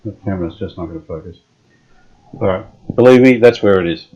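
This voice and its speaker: A man's voice: sits low, a little fast, warm tone, slightly rough, low-quality recording. A man narrating as he restores an old radio, chatting casually and thinking aloud while he works.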